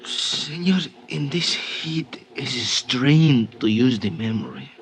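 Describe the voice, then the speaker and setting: An actor playing a sly, languid hotel bellboy with a Spanish accent in a vintage radio drama. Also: male voice, mid-range voice, big pitch swings, slow, a little hiss or hum, rough recording. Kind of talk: 1950s radio drama acting.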